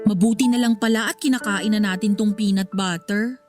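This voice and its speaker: A woman's voice: mid-range voice, steady tone, a little fast, lightly acted. An actress with a Filipino accent playing a proud, stubborn, blunt kept woman in a radio drama. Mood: resigned. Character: proud, stubborn young kept woman who stands her ground. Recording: music behind the voice.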